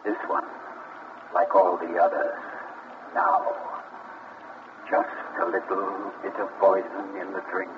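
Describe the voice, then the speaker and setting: A man's voice: deep voice, flat delivery, slow, pauses often. An actor playing a suave, sinister man who relishes poison, in 1940s radio acting style. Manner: urbane, relishing, theatrical. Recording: muffled 1940s radio broadcast with hiss.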